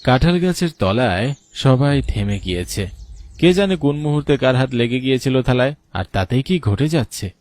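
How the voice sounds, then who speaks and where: A man narrating a scripted horror story in a measured, solemn manner. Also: medium pitch, animated, a little mellow. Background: music bed.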